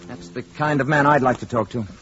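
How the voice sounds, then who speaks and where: An actor playing a calm, learned stranger from space posing as an ordinary man, speaking politely and with quiet assurance in 1950s radio drama style. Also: medium pitch, fairly quick, faint music behind, lightly acted. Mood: calm, curious. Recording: dull, noisy 1950s radio recording.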